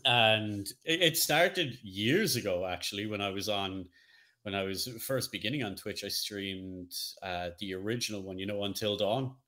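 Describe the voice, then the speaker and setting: Low-pitched man chatting as a podcast co-host. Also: normal speed, sharp tone. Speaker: co-host, streamer. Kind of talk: casual podcast chat.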